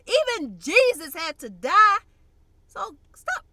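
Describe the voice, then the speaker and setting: Congested, bright-voiced young woman vlogger. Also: very high-pitched, very animated, slow pace, no echo, clean studio sound. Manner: blunt, conversational, uses slang. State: congested, nasal. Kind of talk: casual direct-to-camera chat.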